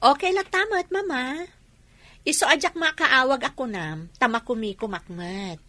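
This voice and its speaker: A woman playing a confident, affectionate young businesswoman in a radio drama, with an animated lilt. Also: sits high, moderate pace, no room sound.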